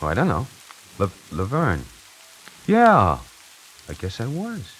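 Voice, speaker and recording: A man's voice: medium pitch, huge pitch swings, slow, slightly rough, full character voice, low-quality recording. An actor playing a wisecracking necktie salesman who narrates his own story in a 1940s radio drama, hardboiled and slangy. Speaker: narrator and lead character. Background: music.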